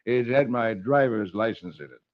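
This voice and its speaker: Man playing a genteel, fussy gentleman. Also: medium pitch, moderate pace, lightly acted. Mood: mildly troubled. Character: polite, fussy well-to-do gentlemen at a party. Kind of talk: 1940s children's radio comic-strip reading. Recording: muffled vintage radio recording.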